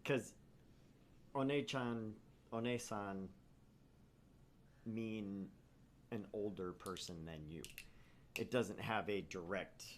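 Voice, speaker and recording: Man, low voice, casual let's play co-host, story narration, background noise. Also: takes it slowly, pauses often.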